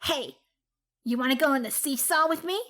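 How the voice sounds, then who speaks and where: A woman's voice: sits high, moderate pace, a bit bright. A woman voicing a bold, friendly young pegasus schoolgirl in a cartoon story, with a brash, casual, confident manner. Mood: eager, encouraging. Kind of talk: animated cartoon-style character acting.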